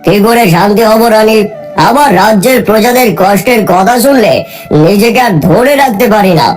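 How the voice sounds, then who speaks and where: A woman's voice: on the high side, moderate pace, darkish tone, soft background music, lightly acted. A woman voicing a stern but caring middle-aged king who speaks firmly and earnestly. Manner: firm and earnest, vowing justice.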